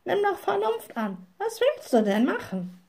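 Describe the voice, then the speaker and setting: A woman voicing a blunt, wise male talking raven from a storybook, reproachful and lecturing, with a croaky, screechy delivery. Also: extremely high pitch, very animated, a little slow, a little mellow, voice pushed up, full character voice. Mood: soothing, admonishing. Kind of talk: storybook character voice.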